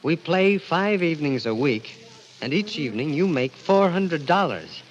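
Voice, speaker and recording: Anguished, dramatic young radio narrator. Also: male voice, fairly high pitch, big pitch swings, moderate pace, highs cut off. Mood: cold defiance. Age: young adult. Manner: dramatic, intense. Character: anguished, guilt-ridden young man narrating his crime. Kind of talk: 1940s radio mystery acting. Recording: old radio recording with music behind.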